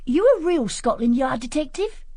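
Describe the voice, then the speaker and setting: A young village boy with a rural English accent, high and very lively in pitch. He is cheeky and plain-spoken, in a close, dry radio drama sound. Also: moderate pace, no room sound. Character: cheeky, matter-of-fact English country schoolboy.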